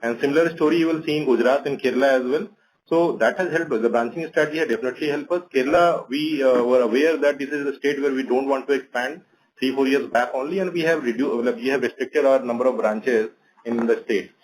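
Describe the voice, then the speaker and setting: A man's voice: mid-range voice, fast talker, low-quality recording. A man with an Indian accent, a bank executive methodically explaining points on an earnings conference call.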